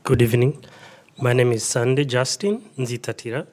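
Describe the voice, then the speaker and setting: A middle-aged man from Rwanda introduces himself in a short speech. He is a native Kinyarwanda speaker with a mid-pitched voice. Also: moderate pace, a little mellow, a little hiss or hum. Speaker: refugee resettlement worker introducing himself.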